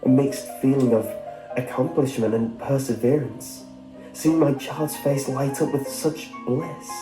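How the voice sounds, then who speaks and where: A man's voice: mid-range voice, steady tone, a little slow, roomy sound, rough recording. A man reading a scripted first-person story as a narrator. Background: background music.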